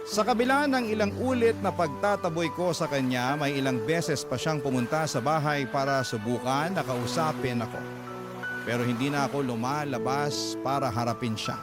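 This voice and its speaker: A man with a Filipino accent reading a letter as dramatic scripted narration, as host of a radio drama. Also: mid-range voice. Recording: loud music under the voice. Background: loud music bed.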